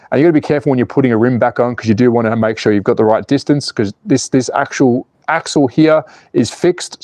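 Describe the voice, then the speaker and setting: A man's voice: medium pitch, mellow, no echo. A man in his forties with an Australian accent, hosting a casual slot car livestream, cheeky and full of swearing while he reads out chat and works on a car. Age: 40s. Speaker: slot car channel host.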